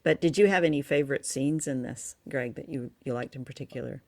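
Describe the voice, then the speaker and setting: A woman's voice: a bass voice, a little fast, vocal fry, a little mellow, no echo. A woman co-hosting a radio show, chatting about old drama and often searching for her words. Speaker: radio show and podcast co-host.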